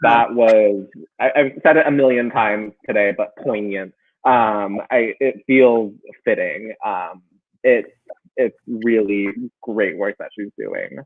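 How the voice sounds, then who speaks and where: Earnest co-host on a tinny call line. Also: male voice, on the low side, lively intonation, takes it slowly. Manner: earnest, with many fillers. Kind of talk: casual video-call hosting. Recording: tinny phone-like video call audio.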